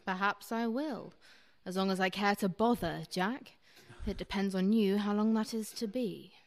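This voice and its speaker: A woman acting a cool, wry, sharp-witted wife in a radio play, with a dry, teasing manner. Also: medium pitch, fairly even intonation, moderate pace, close to an everyday voice.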